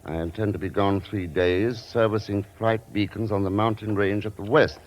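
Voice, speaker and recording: A man's voice: fairly low pitch, fairly even intonation, normal speed, slight background noise, natural voice. An actor playing a cool, wry, suave male secret agent in a vintage radio drama, dry and unruffled in manner. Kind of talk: 1940s-50s American radio acting. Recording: dull vintage radio recording.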